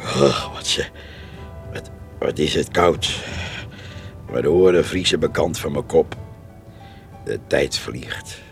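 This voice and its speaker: A man's voice: extremely low pitch, gravelly, heavily acted. An actor playing a chatty, nosy old village man who rambles and grumbles in a folksy way in a radio drama. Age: older. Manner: rambling, muttering, folksy talk.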